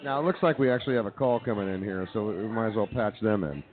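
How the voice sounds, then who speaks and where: A man's voice: fairly low pitch, fast talker, crowd noise. A man hosting a radio show, talking casually about sports and joking with co-hosts. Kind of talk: casual sports and comedy banter. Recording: tinny, narrow-band phone-like audio.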